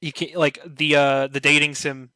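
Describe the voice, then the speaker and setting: Flat-voiced, bright-toned rambling man. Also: fairly high pitch, normal speed, smooth, dry recording. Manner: rambling, many fillers like "you know". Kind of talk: casual podcast discussion.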